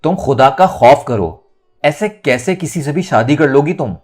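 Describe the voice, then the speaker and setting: Man as an alarmed, scolding mother, raised pitch. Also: expressive, measured pace, a little mellow, faint music behind.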